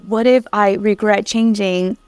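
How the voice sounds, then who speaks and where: Woman, from Vietnam, interview guest, candid, lively storytelling, dry mic. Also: medium pitch, measured pace, clean tone, no echo. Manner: candid, lively, laughs while recalling. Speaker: podcast guest. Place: Vietnamese-born guest, raised in America.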